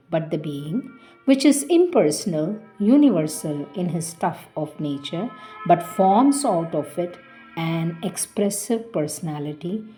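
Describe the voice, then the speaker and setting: A woman reading a spiritual philosophy text aloud in a measured, careful, devotional way. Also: on the low side, a little mellow. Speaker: reader of spiritual texts.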